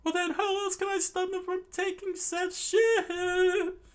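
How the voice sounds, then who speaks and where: Sanji, a shrieking furious young cook. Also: male voice, little pitch movement, slow pace. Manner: screeching falsetto.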